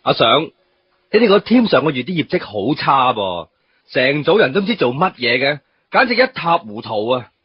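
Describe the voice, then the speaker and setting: An actor playing a harsh, dismissive male office boss in a Cantonese radio drama, with a rough voice and a Hong Kong accent peppered with English. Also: medium pitch, very animated, normal speed, dull audio. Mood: scolding. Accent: Hong Kong Cantonese with English words mixed in. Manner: stern, dismissive.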